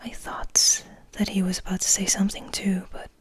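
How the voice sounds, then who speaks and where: A woman ASMR host speaking and reading in a gentle, breathy whisper. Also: mid-range voice, completely flat delivery, moderate pace. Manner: gentle, soft-spoken.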